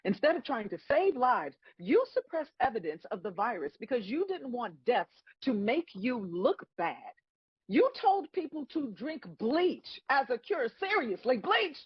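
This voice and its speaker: A woman plays God as a dry, scolding celestial judge in a comedic script read. Her husky voice swings widely in pitch, stern and full of sarcasm. The sound is close and dry but somewhat dull. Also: sing-song, measured pace, dry recording, full character voice. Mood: scolding, sarcastic. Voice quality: husky, raspy.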